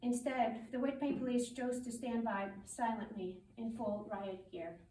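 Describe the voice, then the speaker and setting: A woman reading a prepared public statement as a community spokesperson, in a formal, firm and deliberate way. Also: on the high side, fairly even intonation, mellow. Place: public meeting room. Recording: roomy meeting hall, distant mic, faint noise.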